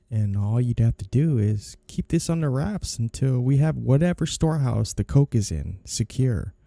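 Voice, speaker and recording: A man acts out a suave, cunning mafia boss in an intimate audio drama. The voice is very dark and mellow with a gravelly creak, swinging expressively as he teases and gives orders. It is recorded close and dry. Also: mid-range voice, no echo. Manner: smooth, teasing, deliberate, smugly commanding. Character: suave flirty two-faced mafia boss, cunning and controlling. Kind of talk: intimate ASMR audio roleplay.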